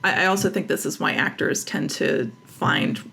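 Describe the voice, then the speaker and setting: Creaky-voiced woman, halting and conversational. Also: low voice, expressive, pushed and bright. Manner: halting, searching for words, self-correcting. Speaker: interviewed writer. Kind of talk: casual interview conversation.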